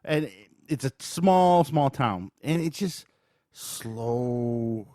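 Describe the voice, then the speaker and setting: A man with a medium-pitched voice talks as a podcast co-host. He reviews in an informal, conversational way, working out his thoughts aloud. The sound is dry, with no room echo. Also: animated, drawn-out words, mellow. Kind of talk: casual chat, movie review.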